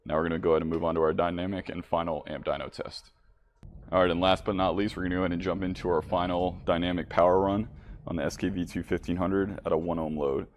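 A man's voice: deep voice, level tone, quick pace, a little hiss or hum. A man narrating a product demonstration casually, speaking informally and straight to viewers as the presenter. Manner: informal, addressing viewers directly.

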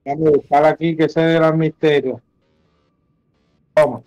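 A man's voice: fairly high pitch, fairly even intonation, moderate pace, darkish tone, dry recording, dull audio, faint music behind. A Venezuelan man chatting casually with other players during an online game, talking in crude, foul-mouthed slang. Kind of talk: casual gaming voice chat with slang.

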